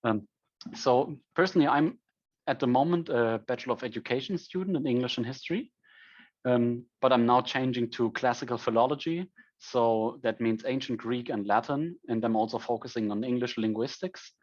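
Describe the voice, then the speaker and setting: A man's voice: mid-range voice, moderate pace, highs cut off. German-accented young man giving a talk. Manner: frequent ums, self-corrections. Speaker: conference speaker. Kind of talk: conference presentation.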